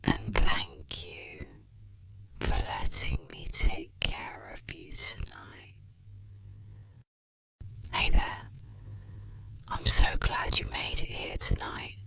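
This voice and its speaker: A breathy, gentle whisper, speaking soothing and reassuring words in the style of an ASMR guided relaxation session. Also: low-pitched, sing-song, extremely slow, long pauses. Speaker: ASMR therapist roleplayer.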